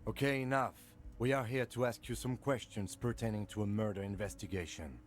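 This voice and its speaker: A man's voice: on the low side, steady tone, lightly acted. A man playing a curt, stern police lieutenant. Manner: dry, measured, curt.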